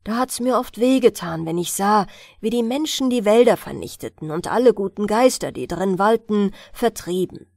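A woman's voice: mid-range voice, expressive, normal speed, gravelly, darkish tone, dry recording, studio quality. A woman voicing a humble young male physician in a storybook, speaking in an earnest, pleading way.

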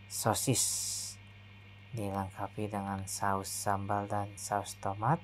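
Male food vlogger, flat and measured delivery, low-ish voice. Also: a little hiss or hum. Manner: steady, slow descriptive narration. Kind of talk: narrated restaurant buffet tour. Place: hotel restaurant, indoors.

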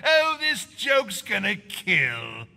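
A man playing a cackling, unhinged clown villain with a raspy, taunting voice. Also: high voice, wildly swooping pitch, takes it slowly, sharp tone, long reverb tail, heavily acted. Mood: gleeful menace. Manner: taunting, theatrical, giggling.

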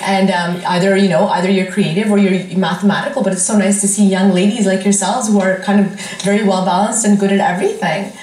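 A woman TV host chats through an interview with effusive approval. Her voice sits at an average pitch, and the studio around her adds noticeable echo. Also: steady tone, fast, hardly any pauses, a little mellow. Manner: effusive, frequent exclamations of approval. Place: indoor TV studio.

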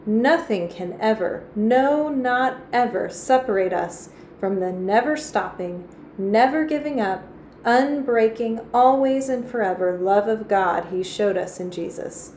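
A woman's voice: fairly high pitch, expressive, unhurried, darkish tone. Woman voicing earnest storybook preacher man, emphatic, heartfelt, roomy echo, faint noise. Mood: fervent. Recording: echoey room with faint noise.

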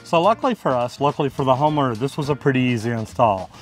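Folksy plumber, music behind. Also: male voice, mid-range voice, expressive, fast talker. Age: 50s to 60s. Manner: folksy, explanatory. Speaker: plumber and video host. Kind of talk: casual hands-on tutorial talk. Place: outdoors beside a brick house.

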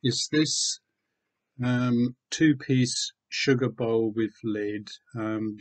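A man in his sixties from Wales, talking casually and hesitantly in a low-key, understated way as he presents a home vlog. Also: drawn-out words. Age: 60s. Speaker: online seller and video host. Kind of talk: casual vlog chat, showing items. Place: small room at home with bookshelves.

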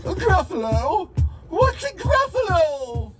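A woman's voice: sing-song, drawn-out words, over music, exaggerated voice. A woman doing a fussy, hooting owl. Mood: inviting. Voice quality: hooting, high. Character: fussy male owl, easily frightened.

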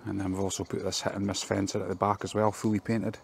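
A man's voice: fairly low pitch, completely flat delivery, fast talker, gravelly, a little hiss or hum. A man, a fencing contractor, filming himself as he walks through a job and explains it in plain, practical terms with many ums, outdoors in a garden. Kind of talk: casual work vlog walkthrough.

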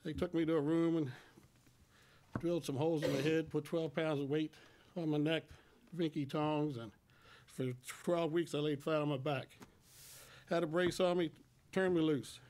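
A man in his sixties speaks at a church lectern, his voice a little high for a man. He tells his personal testimony in homespun, halting phrases, plainly and without polish. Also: steady tone, a little mellow. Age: 60s. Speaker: church member giving testimony. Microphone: microphone on a stand.

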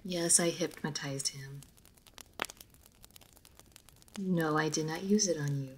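Woman acting a low-voiced, reserved aristocratic vampire lady. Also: background noise, close to an everyday voice. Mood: cold, guarded. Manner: formal, measured, guarded. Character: reserved aristocratic female vampire, formal and melancholy. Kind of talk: roleplay audio drama addressed to the listener.

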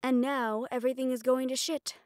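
A woman's voice: high voice, fairly even intonation, a little fast, darkish tone, no echo, studio quality, close to an everyday voice. A woman playing a mafia boss's proud, frustrated personal assistant in an intimate roleplay audio drama, with an exasperated, self-reproachful manner. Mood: self-blaming, frustrated.

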